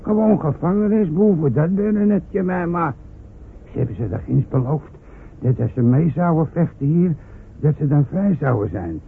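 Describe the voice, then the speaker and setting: An actor playing a timid, bumbling old villager who stammers and hesitates, in a radio drama. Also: male voice, fairly high pitch, animated, normal speed, gravelly. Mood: urgent, earnest. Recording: tinny, noisy old radio recording.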